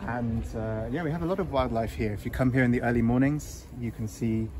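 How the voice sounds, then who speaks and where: A man, talking in a relaxed, halting way with many fillers during a casual interview in an outdoor community garden. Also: mid-range voice. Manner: easygoing, hesitant with many fillers. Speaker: interview guest, volunteer. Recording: outdoor noise with passing traffic.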